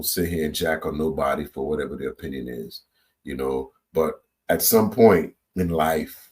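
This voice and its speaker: A man from Trinidad and Tobago hosting a reaction channel, giving his opinions in a loose, animated monologue to viewers, often repeating and restarting words. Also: deep voice.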